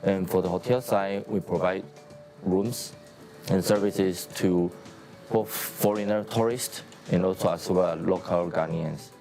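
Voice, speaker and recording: A man in his forties from Taiwan, a hotel and restaurant owner, speaking in a measured interview outdoors on a lapel mic. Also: low-pitched, gravelly, warm tone, faint music behind. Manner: plain, measured business talk with pauses.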